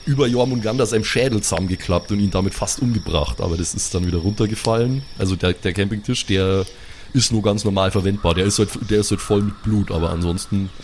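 A man's voice: lowish voice, quick pace, a little mellow, slight background noise. A man improvising narration as the game master of a tabletop audio play, addressing his players casually.

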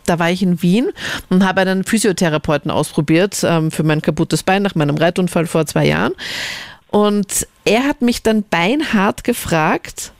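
A woman's voice: lowish voice, moderate pace, no echo. Chatty woman from Linz on radio. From Linz, Austria. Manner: chatty, animated storytelling with laughs. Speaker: radio show co-host. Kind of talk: casual radio chat. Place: radio studio. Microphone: studio microphone.

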